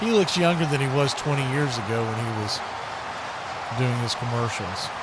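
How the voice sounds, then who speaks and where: A man's voice: mid-range voice, animated, moderate pace, over a noisy crowd, very noisy. An American man giving live football play-by-play with casual, folksy, conversational asides on a livestream. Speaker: play-by-play sports commentator.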